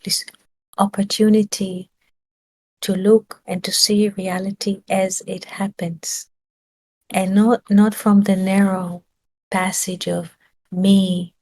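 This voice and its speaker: A woman's voice: medium pitch, little pitch movement, takes it slowly, mellow, rough recording. Woman giving a searching spiritual talk. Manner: searching for words, rhetorical questions. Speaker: spiritual teacher.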